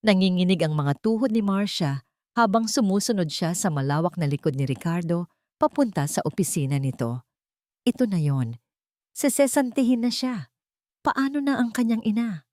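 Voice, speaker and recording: A woman's voice: mid-range voice, lively intonation, normal speed, dark tone, no echo, studio quality. A woman narrating a scripted story in a dramatic style.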